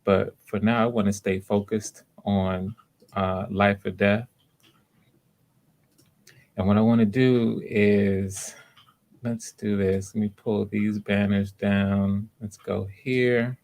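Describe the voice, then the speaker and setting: Low-pitched man hosting a livestream. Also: flat delivery, darkish tone. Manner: halting, explanatory, repeats himself. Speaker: livestream host running voice auditions. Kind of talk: casual live announcements to viewers.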